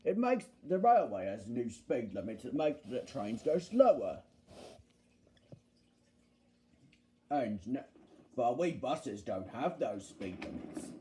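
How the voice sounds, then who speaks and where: A man's voice: medium pitch, sing-song, a little slow, dark tone, a little hiss or hum, voice pushed down. A man voicing a grumpy, boastful double-decker bus in a children's story, scornful and gloating. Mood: smug.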